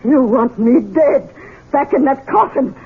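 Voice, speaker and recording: A high-voiced, frightened, proud old mother. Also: animated, husky, full character voice. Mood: wounded, accusing. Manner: halting, indignant, dramatic. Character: frightened but proud, imperious elderly wealthy mother. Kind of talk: 1970s radio mystery drama acting. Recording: narrow old radio sound, faint noise.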